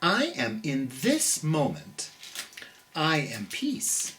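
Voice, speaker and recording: An American storytime host reading a picture book to children and chatting in a gentle, theatrical way. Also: mid-range voice, huge pitch swings, drawn-out words, recorded from a distance, a little hiss or hum.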